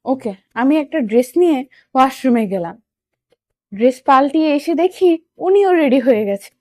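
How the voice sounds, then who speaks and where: A young woman reads a romantic story in a high voice. The recording is dry and close, and she tells it in the first person as an audio story narrator. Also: animated, moderate pace, a little mellow, no echo.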